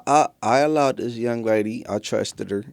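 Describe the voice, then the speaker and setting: A man in casual conversation, speaking slangy, halting and repeating words. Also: medium pitch, creaky, no room sound, studio quality. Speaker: host. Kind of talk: casual chat.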